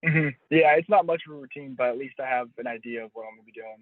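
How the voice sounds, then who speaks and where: A young man answers interview questions casually in a mid-pitched voice, circling back and rephrasing as he talks. The sound is a lo-fi phone call, dull and dry, with no sense of room. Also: fast, dry recording. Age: young adult. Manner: hedging, self-correcting, restating phrases. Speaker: college baseball pitcher being interviewed.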